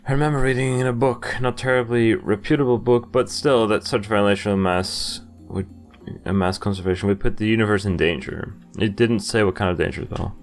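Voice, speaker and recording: Young guy with a low voice reading game text out loud, chatting casually, music in the back. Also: steady tone, a little fast. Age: young adult. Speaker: let's player. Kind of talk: gaming playthrough, reading game text aloud with casual reactions. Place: indoors at a computer, webcam view.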